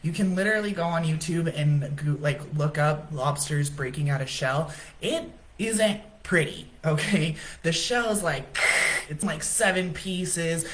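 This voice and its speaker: Woman, low deep voice, casual chatty spiritual coach hosting a live talk. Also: unhurried, a little hiss or hum. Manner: casual, chatty, says "right?" often. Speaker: livestream host.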